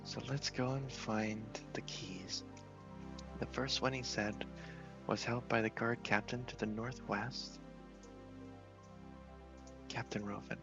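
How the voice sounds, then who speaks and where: A man quietly narrating a game in a soft, low-key ASMR style. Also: steady tone, slow, vocal fry, pauses often, muffled recording, music in the background. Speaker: gaming video host.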